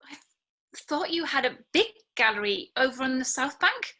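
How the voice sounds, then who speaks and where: A young woman played by an actress in a drama, bewildered and searching. Her voice is high and bright with a husky edge, and it swings widely as she haltingly questions what is happening. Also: very animated, full character voice. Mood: stunned, disbelieving. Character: bewildered, searching 28-year-old woman, lost and disbelieving. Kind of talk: scripted drama table read. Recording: video call.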